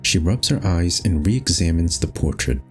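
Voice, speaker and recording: A man with a low voice narrates over faint music. He reads a visual novel game aloud as scripted narration. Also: expressive, normal speed.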